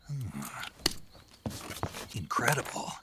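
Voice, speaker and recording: An actor playing a gruff, terse American secret agent in a video game, speaking in a gravelly, clipped, businesslike way. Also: male voice, medium pitch, sing-song, extremely slow, heavy background noise. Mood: awed, absorbed. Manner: terse, clipped questions, intense. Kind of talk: video game cutscene acting.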